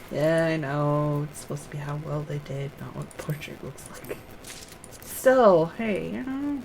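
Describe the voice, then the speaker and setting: A woman's voice: deep voice, animated, slow pace, vocal fry, darkish tone, slight background noise, rough recording. A woman streamer giving casual commentary while playing a video game, muttering to herself as she plays.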